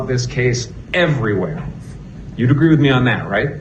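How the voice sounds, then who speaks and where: A man's voice: medium pitch, animated, normal speed. Boston-accented male attorney in noisy courtroom. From Northeastern United States. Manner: pressing, frequent tag questions. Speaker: attorney questioning a witness. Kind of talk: courtroom cross-examination. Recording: noisy, muffled courtroom recording.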